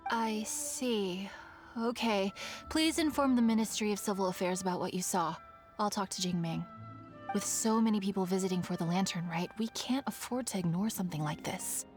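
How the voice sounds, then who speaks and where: A woman's voice: medium pitch, moderate pace, a little mellow, soft background music, lightly acted. A woman voicing a courteous, caring, responsible townswoman in a video game. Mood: businesslike. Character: kindly, responsible townswoman running festival preparations.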